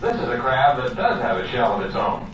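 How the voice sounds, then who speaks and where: A man narrates an educational nature film in a lively, varied delivery, explaining each point straight to the viewer. His voice comes through very echoey and distant, with clear music behind it. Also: mid-range voice, a little fast. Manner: explanatory, addresses the viewer directly.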